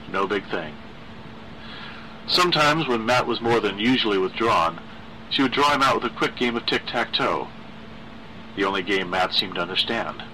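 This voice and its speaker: A man narrates a horror story in a dramatic style. His voice sits a little low but is strikingly bright and forceful. A faint noise runs behind the recording. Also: rough recording.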